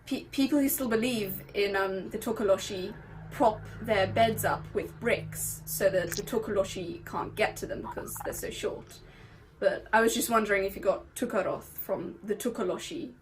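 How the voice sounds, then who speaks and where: A woman's voice: medium pitch, moderate pace, low-quality recording. A woman from South Africa asking an author a question in a recorded video message, explaining carefully. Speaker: viewer sending a video question.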